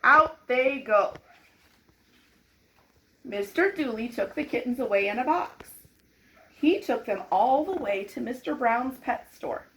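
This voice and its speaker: A woman with a high, very animated voice reads a children's story aloud. She takes her time over each simple sentence and dramatizes it for young listeners. Some background noise sits behind her. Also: normal speed, poor audio. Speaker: storybook reader. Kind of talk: expressive children's read-aloud.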